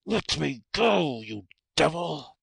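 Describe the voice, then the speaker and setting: A man's voice: fairly high pitch, very animated, slow, creaky, breathy edge, no room sound, full character voice. A man playing a grandiose cult prophet turned coarse swindler in an audiobook drama, blustering theatrically with an American accent. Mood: furious, cornered.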